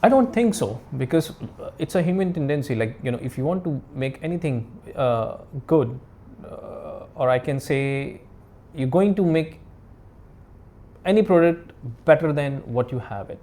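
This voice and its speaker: A man speaking as an expert guest in a casual interview, explaining in halting, repetitive, non-native English phrasing. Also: a little high, expressive, warm tone.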